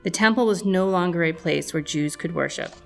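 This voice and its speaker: A woman narrates a children's story from a script. Her voice sits a little low for a woman, and soft music plays behind her. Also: brisk, gravelly.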